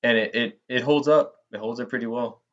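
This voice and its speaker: A man co-hosting a podcast in a casual chat about movies, joking and swearing freely in an animated way. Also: mid-range voice, brisk. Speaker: podcast co-host. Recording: dull, lo-fi band-limited audio.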